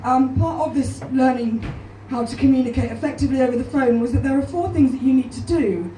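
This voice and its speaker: Croaky young woman doing stand-up. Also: high voice, a little hiss or hum. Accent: English. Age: 20s. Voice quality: hoarse, croaky natural voice. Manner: self-deprecating, deadpan delivery with pauses for laughs. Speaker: stand-up comedian. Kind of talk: stand-up comedy set. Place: small comedy club stage, live audience. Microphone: handheld stage mic, filmed from far back in the crowd. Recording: echoey club recorded from far off. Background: audience laughter.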